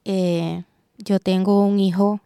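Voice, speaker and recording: Woman host, mellow, unhurried, reflective. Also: mid-range voice, level tone, clean tone, no room sound, clean studio sound. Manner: measured, reflective. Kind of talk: reflective roundtable hosting. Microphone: studio microphone.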